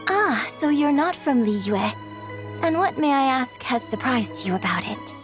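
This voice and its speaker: A woman's voice: sits high, very animated, a little slow, over music. A woman voicing a poised young opera director in a video game, courteous, eloquent and refined. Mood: courteous, impressed. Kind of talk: video game character acting.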